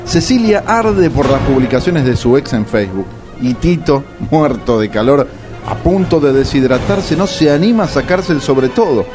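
A man's voice: mid-range voice, animated, moderate pace, over music. A man, giving comic scripted narration for a radio play.

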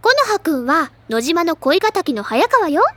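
An actress playing a cheerful, bookish teenage club president in an audio drama, with gushing, theatrical, feminine phrasing. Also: female voice, extremely high pitch, big pitch swings, measured pace, a bit bright, slight background noise, heavily acted. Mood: bright, bossy. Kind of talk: Japanese audio drama, anime-style acting.

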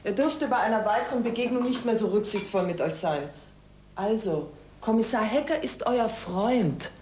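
A woman playing a sensible, caring, gently firm mother in an audio drama. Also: a little high, lively intonation, normal speed, natural voice. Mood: cautioning. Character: sensible, caring mother warning her children. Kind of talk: German audio drama acting. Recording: echoey room, faint noise.

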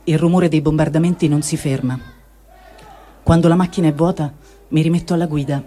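A man with a mellow, darkish tone and a somewhat high voice reads a first-person diary aloud. He keeps a calm, unhurried pace throughout. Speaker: reader.

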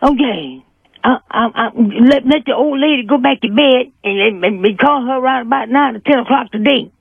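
An elderly woman, sounding sleepy, talking casually on the phone and haltingly repeating her words. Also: high voice, creaky, breathy edge, no room sound. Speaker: prank call recipient. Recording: tinny narrowband phone line.

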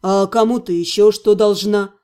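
A woman's voice: medium pitch, steady tone, warm tone, pitched below the performer's own voice, natural voice. A woman voicing a bitter young male necromancer, a jilted fiancé speaking with cold, weary restraint in an audiobook. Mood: contemptuous. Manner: bitter, deliberate, reproachful. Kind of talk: dramatized audiobook dialogue.

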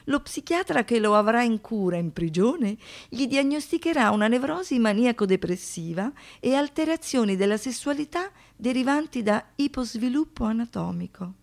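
A woman with a fairly high voice narrates a scripted documentary stage piece. Her phrasing is deliberate and expressive, placing pointed weight on the words. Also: creaky.

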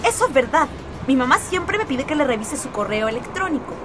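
A woman's voice: high-pitched, very animated, a little fast, music in the background. A female performer playing a friendly, chatty schoolgirl with a Chilean accent in a radio drama. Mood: lively. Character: Cata, friendly apologetic schoolgirl.

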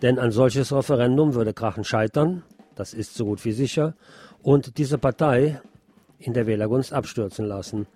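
A man presenting a scripted radio news commentary, reading out press reports in a measured, explanatory way. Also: mid-range voice, mellow, dry recording.

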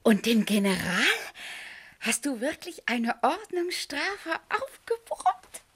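A woman acting in a German radio play as a minister's admiring wife, softly probing, breathy, husky and often half-whispering. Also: fairly high pitch, big pitch swings, slow, full character voice.

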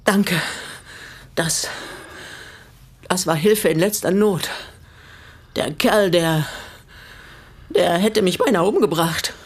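An actress playing a vengeful, commanding middle-aged widow in a radio crime drama, speaking curtly. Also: female voice, lowish voice, takes it slowly, long pauses, husky, full character voice. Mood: shaken, grateful. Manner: curt, commanding.

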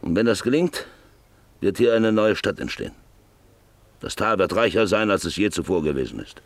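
A man's voice: lowish voice, expressive, moderate pace. A man acting a brooding, embittered, obsessed landowner in a German radio play, with insistent, stage-trained delivery. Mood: defiant, impatient. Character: embittered, obsessed, paralysed landowner John Beverly drilling for oil.

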